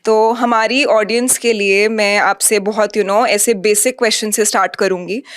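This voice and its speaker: A woman's voice: mid-range voice, steady tone, moderate pace. A woman hosting an interview in a conversational way, mixing English words into her questions and introductions. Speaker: interview host.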